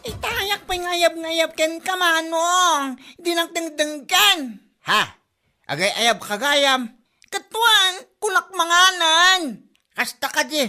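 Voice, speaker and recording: A woman's voice: very high-pitched, expressive, slow, long pauses, pushed and bright, faint music behind, exaggerated voice. A woman playing a humble tomato farmer. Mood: pleading. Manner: polite and deferential. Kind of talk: amateur comedy drama acting.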